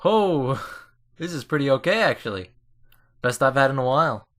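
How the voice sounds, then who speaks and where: A man's voice: mid-range voice, expressive, moderate pace, a bit bright, close to an everyday voice. A man playing a blunt, unimpressed male mentor in a fan dub, curt and dry in manner. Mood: casually satisfied. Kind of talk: fan dub visual novel acting. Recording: music behind the voice.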